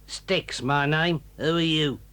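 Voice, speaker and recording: An actor playing a gruff, bullying middle-aged sailor who talks slowly and threateningly in an audio drama. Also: male voice, a little high, bright, forceful tone, slight background noise. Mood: menacing. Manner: menacing, slow and threatening. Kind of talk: 1980s audio drama acting.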